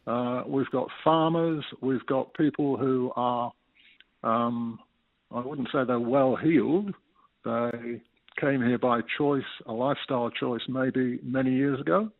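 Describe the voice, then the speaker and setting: A man's voice: mid-range voice, steady tone, slow pace, dry recording. An Englishman in his sixties, a retired resident, explaining things steadily as a guest over the phone with a few fillers. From United Kingdom. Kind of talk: phone interview. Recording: tinny phone line.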